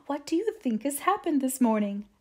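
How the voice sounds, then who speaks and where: A woman voicing an excited, gossipy young girl in an audiobook, eager and half whispering. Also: high-pitched, fairly quick, pitched above the performer's own voice. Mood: gleeful. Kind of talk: audiobook character acting.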